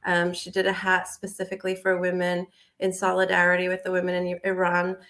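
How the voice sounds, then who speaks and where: Animated American woman, laughing guest. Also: lowish voice, fairly quick. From United States. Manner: animated, laughs often, many fillers. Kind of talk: casual interview chat.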